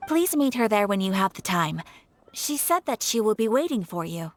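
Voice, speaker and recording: A woman's voice: mid-range voice, sing-song, normal speed, soft background music, lightly acted. A woman playing a courteous, composed receptionist relaying messages in an anime game. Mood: polite, composed.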